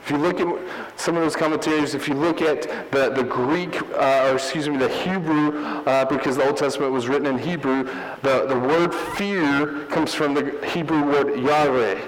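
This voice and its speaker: A man's voice: a little high, fairly even intonation, moderate pace, hardly any pauses, in a large hall, low-quality recording. A man preaching a teaching sermon, earnest and explanatory, often restarting his phrases. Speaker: pastor.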